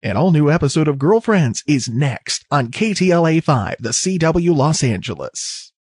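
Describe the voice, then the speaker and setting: A male television announcer delivers scripted promos with punch and polish. His voice has a husky grain and swings widely in pitch. It sounds dry and close, like a studio voice-over. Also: big pitch swings, normal speed, a little mellow, dry recording. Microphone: close studio voice-over microphone.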